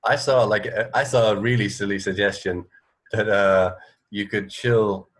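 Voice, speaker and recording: A middle-aged man co-hosting a casual chat over a video call, haltingly restarting his sentences. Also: on the low side, fairly even intonation. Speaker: radio co-host. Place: indoor room at home, on a video call.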